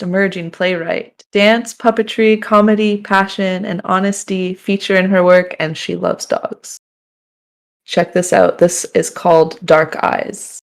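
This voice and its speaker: Gravelly-voiced woman hosting a livestream. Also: medium pitch. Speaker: festival livestream host. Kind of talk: casual live-stream hosting.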